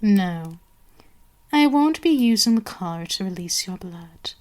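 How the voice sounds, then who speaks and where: A woman playing an imperious, seductive vampire queen in an ASMR roleplay, haughty and menacing, drifting into breathy whispers. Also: medium pitch, lively intonation, measured pace, darkish tone, natural voice. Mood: menacing, taunting.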